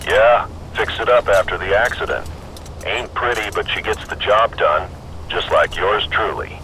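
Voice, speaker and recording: Gruff older ship's engineer played by a male actor: very low voice, folksy and clipped, probing, over noisy ship ambience. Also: measured pace, sharp tone. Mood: gruff, wry. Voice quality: gruff, gravelly.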